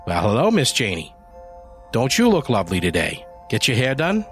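A man's voice: medium pitch, wildly swooping pitch, normal speed, faint music behind. A man voicing a friendly, chatty corner-store shopkeeper. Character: friendly middle-aged male shopkeeper.

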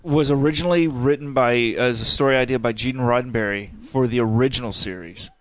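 Male co-host, even pitch, factual and plain. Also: fairly quick. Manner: factual, matter-of-fact. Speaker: podcast co-host. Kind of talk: casual chat.

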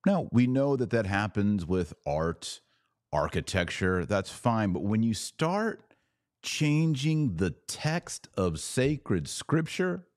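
Deep, slow-talking male podcast host. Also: big pitch swings, darkish tone, no echo, clean studio sound. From Texas. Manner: sardonic, emphatic, shifts pace often. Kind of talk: opinionated solo commentary.

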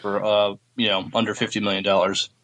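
A man's voice: on the low side, flat delivery, fairly quick, fairly bright tone, low-quality recording. A man chatting casually as a co-host on a podcast. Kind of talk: casual chat.

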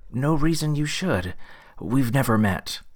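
A man acting an eager, awkward guy, animated delivery. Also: medium pitch, unhurried. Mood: explaining, proud. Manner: earnest, slightly hesitant. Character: eager, awkward young man, a disco-obsessed time traveller. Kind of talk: acted dialogue in an audio story. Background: music.

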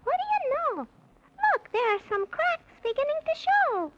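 An actor playing a small, eager, polite squirrel in a 1930s children's radio serial, with a squeaky voice. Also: big pitch swings, moderate pace, dull audio. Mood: chatty. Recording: noisy, band-limited 1930s radio recording.

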